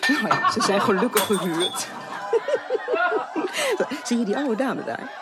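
A giddy society lady in a 1980s radio drama. Her fairly high voice leaps wildly up and down as she gushes, giggles and rambles on, affectionate and effusive. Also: very animated, slow pace, heavy background noise. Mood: giddy, gushing.

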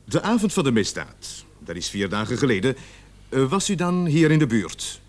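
A man's voice: mid-range voice, very animated, natural voice. Man acting probing policeman with swooping intonation. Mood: calm, prodding. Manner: measured, dryly sceptical, prodding. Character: calm, probing, insinuating male police officer interrogating suspect. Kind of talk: radio play acting.

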